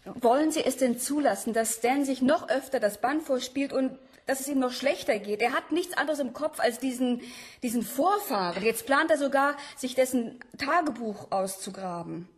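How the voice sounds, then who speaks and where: A woman's voice: high-pitched, lively intonation, moderate pace. A woman acting a worried, insistent young student in a radio drama, earnest and pressing. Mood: worried, pleading.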